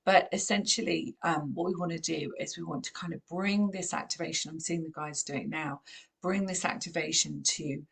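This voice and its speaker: A woman with a croaky voice, gently guiding a channeled meditation in a calm, unhurried way. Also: low-pitched. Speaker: spiritual channeler and host.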